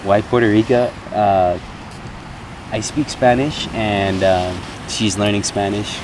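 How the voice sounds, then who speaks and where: A young man talking casually to camera as a vlogger, relaxed and reflective with plenty of ums. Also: lowish voice, takes it slowly, background noise. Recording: music bed loud underneath.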